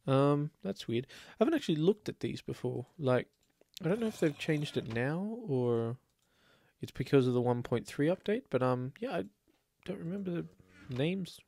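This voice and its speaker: A man's voice: fairly high pitch, expressive, normal speed, gravelly, warm tone. A man with a slight cold, chatting casually and rambling as he plays a building game. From Australia. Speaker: let's play host. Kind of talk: casual gameplay commentary.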